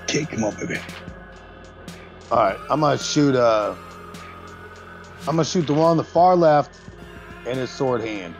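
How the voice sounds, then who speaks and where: A man's voice: mid-range voice, expressive, moderate pace, long pauses, faint music behind. A man playing a tabletop game, chatting casually with playful, slangy banter.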